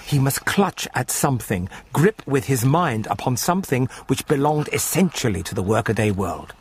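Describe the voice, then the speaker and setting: A man's voice: medium pitch, expressive, moderate pace, slight background noise. A man narrating a radio horror story in a dramatic, scripted style, with music under his voice.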